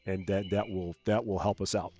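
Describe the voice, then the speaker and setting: A man with a calm, soothing voice, casually talking through a game on a live stream and thinking aloud as he plays. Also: deep voice, normal speed, soft background music. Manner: soft-spoken, rambling, thinking aloud.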